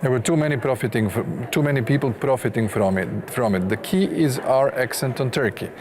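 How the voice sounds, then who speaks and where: A man speaking as a politician in a formal panel debate, measured, diplomatic and insistent. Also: medium pitch. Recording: clear background noise and ambience.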